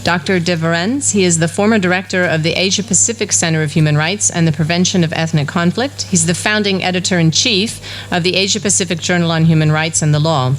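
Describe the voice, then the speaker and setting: Low-voiced woman chairing a conference session. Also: fast, hardly any pauses, a little hiss or hum. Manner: reads out a prepared introduction. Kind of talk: formal speaker introduction. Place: university lecture hall.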